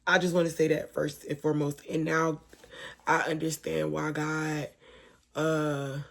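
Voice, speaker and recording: A young woman vlogging late at night with a hoarse, fading voice, talking softly and partly in a whisper. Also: deep voice, level tone, unhurried. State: hoarse, voice almost gone. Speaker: mom vlogger. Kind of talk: casual talk to camera. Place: home at night, lying down with her baby.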